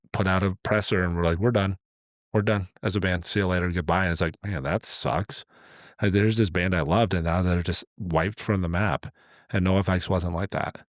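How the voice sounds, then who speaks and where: A man's voice: deep voice, dry recording, clean studio sound. A man co-hosting a podcast in casual, reflective chat, earnest and often repeating his words as he goes. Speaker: podcast co-host.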